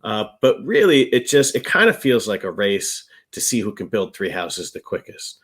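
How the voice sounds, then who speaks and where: A man's voice: fairly low pitch, very animated, moderate pace, a bit bright, slightly rough. A man, middle-aged, chatting casually as co-host of a game review show, rambling a little and repeating words for emphasis. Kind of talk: casual chat, reviewing board games.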